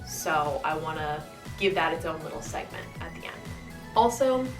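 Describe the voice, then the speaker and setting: A woman's voice: sits low, expressive, moderate pace, far from the mic. A chatty young woman from the Midwest rambles happily to the camera, her voice nasal and congested from a cold. She sits a little back from the mic in an echoey room, with faint music underneath. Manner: chatty, enthusiastic, rambling with fillers. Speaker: model horse collector and channel host. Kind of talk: casual vlog talk to camera.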